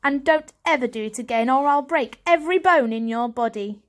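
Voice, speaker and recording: A woman's voice: high voice, a little fast, voice pushed up, lightly acted. A young tomcat bully from a storybook, put on by a woman, full of scorn. The voice is lifted and sneering, handing out orders and threats. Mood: menacing.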